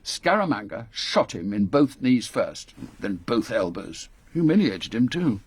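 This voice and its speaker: An actor playing an authoritative older British spy chief, brisk and ruthless, with clipped, formal delivery in a radio drama. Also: male voice, medium pitch, sing-song, husky. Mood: grave, resolute.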